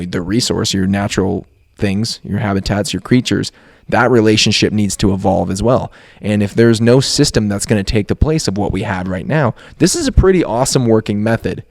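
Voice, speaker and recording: Guy with a lowish voice on a podcast, emphatic, asks and answers his own questions, very dry sound. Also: gravelly, dry recording. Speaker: podcast co-host. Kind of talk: casual discussion.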